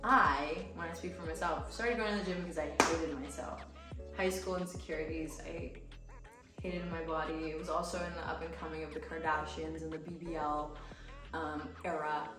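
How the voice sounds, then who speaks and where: A woman's voice: deep voice, fairly even intonation, normal speed. A young American woman, raised speaking Romanian, chatting and laughing through fan questions in a vlog. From United States. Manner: bubbly, chatty, laughs often. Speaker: fitness creator. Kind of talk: casual Q&A vlog. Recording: echoey room with music from a distance.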